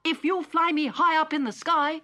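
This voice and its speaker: A woman voicing an eager, excited little male robot in a children's story. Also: moderate pace. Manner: high, eager and pleading.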